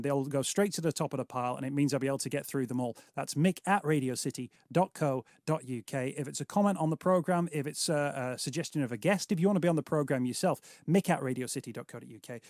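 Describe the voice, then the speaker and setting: A man's voice: on the high side, no echo. A man, hosting a radio talk show and interviewing a guest in a studio. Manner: chatty, energetic presenter delivery. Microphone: studio microphone, headphones on.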